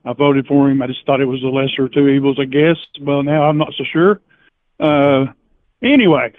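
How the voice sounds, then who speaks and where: A man's voice: mid-range voice, fairly even intonation, brisk. A man calling in to a live stream, hesitant and rambling, stumbling over his words and laughing uneasily. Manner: hesitant, rambling, lots of fillers and restarts. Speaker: caller. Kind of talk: phone-in conversation. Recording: tinny phone line.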